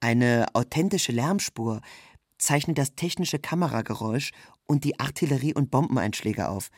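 A man's voice: mid-range voice, moderate pace, no room sound, clean studio sound. A man reads scripted literary narration in with a sober, documentary delivery. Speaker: narrator, reader of a literary text.

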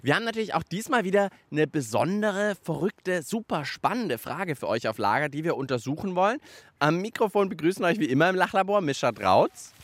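A man's voice: fairly high pitch, very animated, fairly quick, fairly bright tone, dry recording. A man hosting a children's radio show outdoors in a park, playful and enthusiastic. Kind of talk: lively kids' show presenting.

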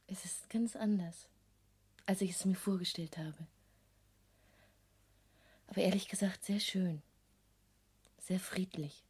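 Hushed gentle woman full of wonder, husky breathy, slow, radio play. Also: fairly low pitch, steady tone. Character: gentle, quietly amazed woman taking in a view. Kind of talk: German radio play acting.

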